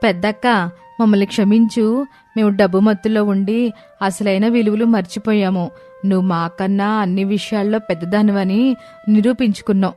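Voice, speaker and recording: A woman plays a proud young rich daughter-in-law in a scripted family story. She speaks emphatically at a middle pitch, over faint music. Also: fairly even intonation, normal speed, warm tone, natural voice. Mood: remorseful.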